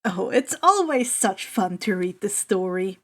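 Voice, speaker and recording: A woman's voice: medium pitch, lively intonation, unhurried. A woman narrating fan fiction aloud as a podfic reader.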